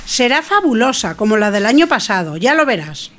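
A woman's voice: fairly high pitch, sing-song, fairly quick, a bit bright, slight background noise. A woman playing an affectionate, reassuring mother in an audio drama. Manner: coaxing, reassuring. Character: affectionate, dismissive mother reassuring her child.

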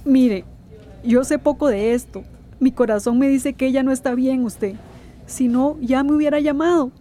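Actress as high-pitched, anxious Central American mother. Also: moderate pace, dark tone, close to an everyday voice. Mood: worried, pleading. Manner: emotional, colloquial, pleading. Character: anxious devoted mother searching for her missing daughter. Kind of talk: radio drama acting.

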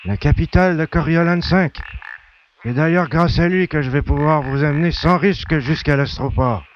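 A man's voice: on the high side, normal speed, a little hiss or hum, close to an everyday voice. Man acting a space hunter, slightly muffled sound. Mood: explanatory. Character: male space hunter piloting a zoo transport, forthright, matter-of-fact. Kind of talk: audio drama acting.

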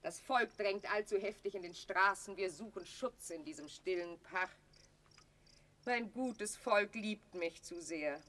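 An actress playing a haughty, suspicious reigning queen in a classical radio drama, with measured, imperious declamation. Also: mid-range voice, pushed and bright. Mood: regal, self-satisfied. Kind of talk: classical German stage drama radio play.

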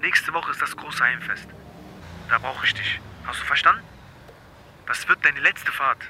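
An actor in a radio drama playing a cold, threatening and sarcastic criminal boss. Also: male voice, mid-range voice, measured pace, bright, forceful tone, slight background noise. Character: menacing, cold criminal boss pressing his drug courier.

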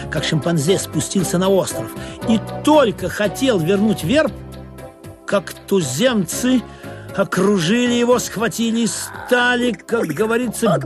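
Droll sailor narrator, high male voice, music underneath, mock-solemn, radio comedy. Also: animated, a little slow. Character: narrating sailor, droll teller of absurd sea voyage. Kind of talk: humorous radio play narration. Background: music behind the voice.

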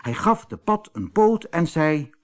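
A man narrating a children's audiobook as an expressive storyteller. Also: fairly high pitch, unhurried.

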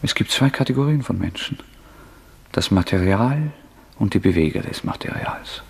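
A man's voice: lowish voice, expressive, normal speed, gravelly. An actor playing an intense intellectual radical, declaiming his theory emphatically in 1960s German radio drama style. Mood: fervent. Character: intense male intellectual radical, fervently preaching his theory of extraordinary men. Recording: long hall reverb on voice.

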